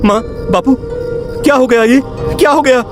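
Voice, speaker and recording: A man's voice: extremely high pitch, measured pace. A man playing an earnest, distressed young villager in a cartoon story, hesitantly repeating his words. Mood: shocked, pleading. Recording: music under the voice.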